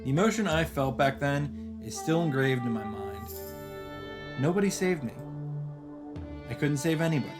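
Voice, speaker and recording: Mid-pitched man, let's play narrator, expressive read-aloud delivery, occasional casual asides, music behind. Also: normal speed, long pauses. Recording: music under the voice.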